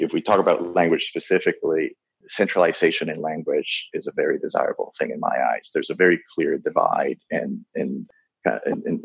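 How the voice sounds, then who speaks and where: A man from the Dominican Republic, Spanish his first language, talks in a deep, gravelly, husky voice. He pauses and restarts often as he works through ideas in an interview. The recording is dry and somewhat dull. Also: no echo. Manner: halting, many restarts and fillers. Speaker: guest. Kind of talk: thoughtful interview talk.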